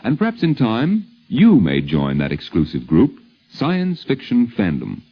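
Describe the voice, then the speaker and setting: A man's voice: fairly low pitch, huge pitch swings, unhurried, breathy edge. An American man narrating a 1950s radio documentary from a script, with polished, wry, theatrical phrasing. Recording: muffled 1950s radio broadcast.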